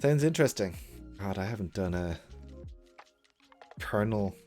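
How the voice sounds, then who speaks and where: A man with a mild Irish accent chats to his live-stream viewers in a loose, rambling way, full of jokes and restarts. His voice sits on the lower side. Soft music plays faintly behind him. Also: expressive, unhurried. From Ireland. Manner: chatty, rambling, jokey, self-interrupting. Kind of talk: casual chat with live chat. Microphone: good desk mic at computer.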